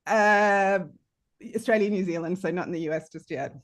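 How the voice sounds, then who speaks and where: A woman's voice: fairly low pitch, normal speed. A woman author chatting casually on a panel in an informal, self-effacing way.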